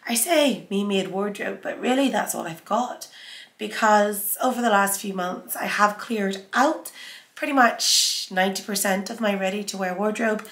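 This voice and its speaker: A woman with a cold and a scratchy sore throat hosts her sewing vlog in a chatty, conversational way. Her voice sits at an ordinary middle pitch. The sound is noticeably roomy and echoey. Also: normal speed, a bit bright. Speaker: sewing channel host. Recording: echoey room.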